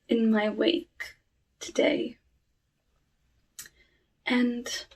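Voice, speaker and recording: A woman voicing a sinister, cursed flesh-eating forest witch in a hushed, breathy ASMR roleplay, often drifting into whispers. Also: fairly high pitch, far from the mic, rough recording. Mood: ominous calm. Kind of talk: ASMR roleplay, soft talking.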